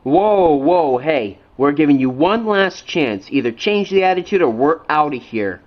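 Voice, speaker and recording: A man's voice: fairly high pitch, big pitch swings, a little slow, dull audio. A man voicing offended but polite train passengers in a cartoon, protesting as they speak. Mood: indignant.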